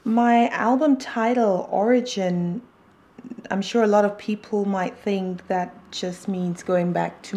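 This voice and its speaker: A woman whose first language is Yoruba, a singer-songwriter talking thoughtfully in an interview, pausing with fillers and restarts. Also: medium pitch, warm tone. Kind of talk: interview talk.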